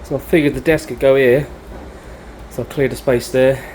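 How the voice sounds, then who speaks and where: A man's voice: medium pitch, fairly even intonation, moderate pace, darkish tone, slight background noise, poor audio. A British man vlogging casually, thinking aloud in an informal, chatty way as he shows things around him. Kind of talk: casual video diary, talking while showing the space.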